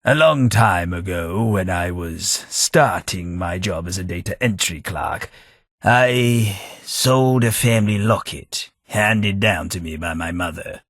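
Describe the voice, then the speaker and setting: A low, husky, courteous Englishman. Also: a bit bright, dry recording, clean studio sound, heavily acted. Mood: earnest. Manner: measured, courteous, slightly wistful. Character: polite, reserved Englishman, former office clerk. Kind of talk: game character dialogue.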